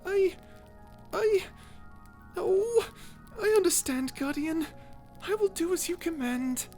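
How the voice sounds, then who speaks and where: A woman voicing a cute, feisty little mouse in a character audio roleplay, earnest, proper and excitable. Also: very high voice, slow, faint music behind, pitched above the performer's own voice, full character voice. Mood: subdued, earnest. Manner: excitable, indignant, proper, stammering.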